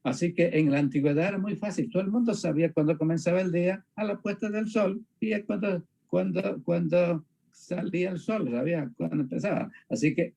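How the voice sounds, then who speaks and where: A man from Panama, explaining reflectively in conversation, haltingly and repeating phrases for emphasis. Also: high-pitched, creaky, warm tone. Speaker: discussion partner on a religious show.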